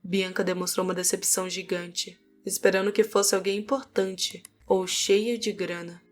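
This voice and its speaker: A woman's voice: mid-range voice, fairly even intonation, normal speed. A Brazilian woman reading scripted narration for a fantasy audiobook. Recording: music behind the voice. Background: music.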